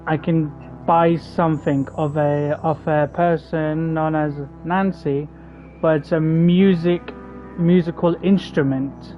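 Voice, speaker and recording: Slow-talking, low-voiced woman streaming a game. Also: steady tone. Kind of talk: casual live reaction commentary while playing. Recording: dull lo-fi mic, game music behind.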